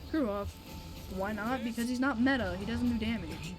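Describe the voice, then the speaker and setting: A woman live-streaming a video game, commenting casually and excitably with mild swearing. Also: fairly high pitch, moderate pace, music in the background.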